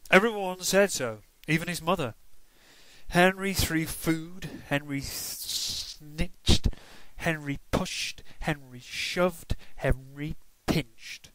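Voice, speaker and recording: A man reading a children's storybook aloud, expressive and emphatic, with playful asides. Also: on the high side, slow, dry recording, rough recording.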